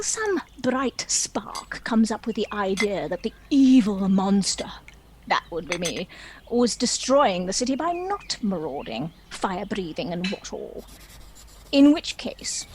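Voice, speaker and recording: A woman's voice: medium pitch, slight background noise. A woman playing a chatty, self-pitying dragon who tells its own story in a wry, theatrical comic stage monologue. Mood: wry, droll. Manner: wry, theatrical, measured storytelling with arch asides.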